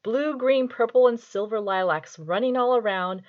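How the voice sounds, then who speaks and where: A woman's voice: fairly high pitch, normal speed. A middle-aged woman, an author, chatting casually and reading poetry in a playful, mock-serious way on a lo-fi home recording. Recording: dull, lo-fi home video audio.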